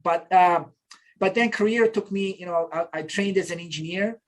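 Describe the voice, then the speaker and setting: A man's voice: sits high, normal speed, a loud crowd. Reflective middle-aged man from Bosnia. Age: 50s. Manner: reflective, frequent restarts. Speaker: interview guest. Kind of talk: casual conversational storytelling.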